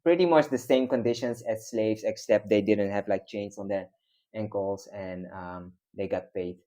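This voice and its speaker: Low, mellow-voiced Dutch-born man. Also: steady tone, normal speed. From Netherlands. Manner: hesitant, many ums and restarts. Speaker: guest. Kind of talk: casual interview chat.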